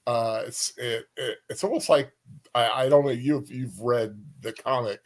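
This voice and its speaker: Halting, casual male co-host. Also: medium pitch. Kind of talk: casual chat.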